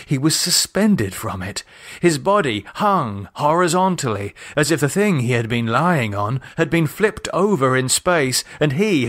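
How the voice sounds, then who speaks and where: A man reading a horror novel as a dramatic audiobook, measured and expressive in his delivery. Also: fairly high pitch. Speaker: author and narrator reading own novel.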